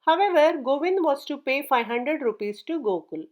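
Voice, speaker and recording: A woman narrating a children's story from a script in a measured, clearly enunciated read-aloud manner. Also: high-pitched, expressive.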